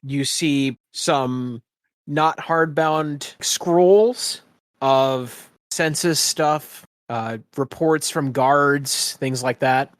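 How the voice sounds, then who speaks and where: A man acting as game master, improvising descriptions for a tabletop fantasy game in a halting, piecemeal way. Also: mid-range voice, clear, resonant tone, no room sound.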